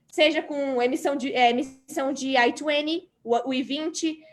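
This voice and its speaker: A Brazilian woman, a graduate student on an online panel, explaining earnestly with frequent hesitations. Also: high-pitched. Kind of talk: panel talk answering a moderator.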